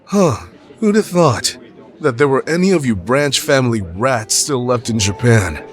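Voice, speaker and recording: A man's voice: medium pitch, lively intonation, soft background music, natural voice. A man playing a sneering, taunting heir of a powerful family in an anime radio play. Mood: mocking menace. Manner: taunting, drawn-out, theatrical.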